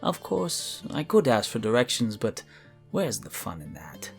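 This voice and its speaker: A man voicing a smug, scheming elf spellcaster in a fantasy audio drama, musing theatrically in a husky voice. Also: medium pitch, wildly swooping pitch, unhurried, faint music behind, full character voice.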